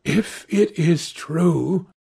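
Guy doing an anguished painter in an audiobook, high husky voice, imploring, horrified, very theatrical. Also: very slow, warm tone, full character voice. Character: anguished male painter, horrified, moralising, devout. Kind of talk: dramatic audiobook character voice.

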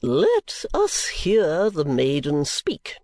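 An oily, self-important preacher drawls his blessings in slow, stately phrases, his pitch swinging high and low, as a reader puts him on. The sound is dry and close. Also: wildly swooping pitch, a little mellow, no room sound, exaggerated voice. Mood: unctuous. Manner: slow, grandly sermonizing with long pauses. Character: oily pompous large male preacher.

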